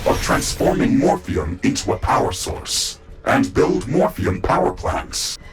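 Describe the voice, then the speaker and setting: A man voicing a calm, loyal artificial intelligence in a video game, with measured, formal, analytical speech. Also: medium pitch, soft background music. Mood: informative, calm. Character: calm, loyal male AI of a battle mecha suit. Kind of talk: scripted video game dialogue.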